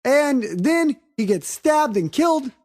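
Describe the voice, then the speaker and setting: A man's voice: very high-pitched, very animated, unhurried. A man co-hosting a casual movie review podcast, joking and swearing freely as he talks. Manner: profane, jokey, breaks into mock accents. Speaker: podcast host.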